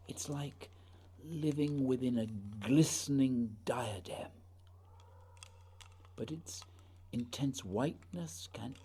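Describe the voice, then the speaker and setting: An actor playing an earnest, haunted polar explorer in a radio play, speaking lyrically and deliberately in a husky voice. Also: male voice, mid-range voice, darkish tone, slight background noise. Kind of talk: poetic radio drama acting.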